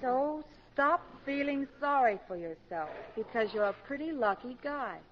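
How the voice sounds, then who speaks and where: An American actress playing a patient, plain-spoken doctor's wife in a 1950s radio drama. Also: female voice, sits high, expressive, unhurried. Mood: frank, steady. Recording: noisy, dull 1950s radio recording.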